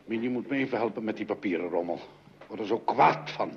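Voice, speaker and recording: A man's voice: lowish voice, brisk, natural voice. An actor playing a grumpy, blustering middle-aged father in a Dutch radio play. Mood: irritated. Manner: blustering, exclamatory. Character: grumpy, theatrical father of the household, easily exasperated. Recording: muffled, noisy old radio recording.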